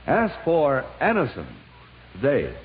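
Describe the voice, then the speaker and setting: Animated male announcer, tinny old radio. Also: medium pitch. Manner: polished, emphatic, deliberate enunciation. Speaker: commercial announcer. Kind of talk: 1950s radio advertisement, scripted sales read. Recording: thin vintage radio with faint hiss.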